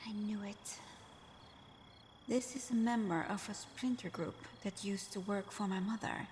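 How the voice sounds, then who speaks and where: A woman's voice: mid-range voice, a little slow, a little mellow, a little hiss or hum, close to an everyday voice. A woman playing a sly, flirtatious supervillain in a scripted ASMR roleplay, murmuring breathily with teasing hums. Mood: sly, hushed. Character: flirtatious, sly female supervillain teasing her hero nemesis.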